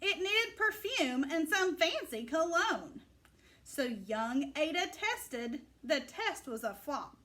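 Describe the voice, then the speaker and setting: A woman reading a rhyming children's picture book aloud in an expressive, deliberate way with emphatic phrasing. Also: high voice. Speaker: storybook reader.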